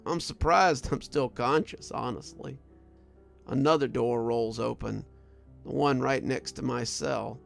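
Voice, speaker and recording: A man voicing a wounded, delirious prison guard sergeant in a horror story, speaking in a weary, halting, frightened way. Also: mid-range voice, gravelly, faint music behind. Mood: dazed resignation. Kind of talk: first-person horror story narration.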